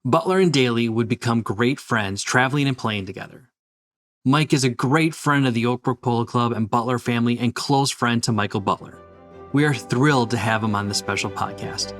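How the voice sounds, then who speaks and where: A man's voice: medium pitch, normal speed, fairly bright tone, faint music behind. A man hosting an interview podcast, speaking as a presenter introducing and talking with a guest. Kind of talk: scripted introduction, then conversational interview.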